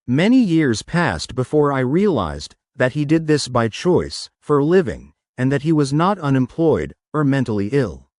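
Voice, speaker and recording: Guy reading study passages out loud, careful diction, pitch moves around a lot, super dry sound. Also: big pitch swings, normal speed, few pauses, no echo. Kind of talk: scripted read-aloud of academic practice texts.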